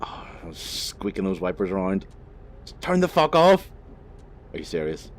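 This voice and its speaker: A man's voice: deep voice, very animated, normal speed, a little hiss or hum. A young Northern Irish man chatting casually over his gameplay, rambling, swearing freely and laughing at himself. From Northern Ireland. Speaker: gaming channel host.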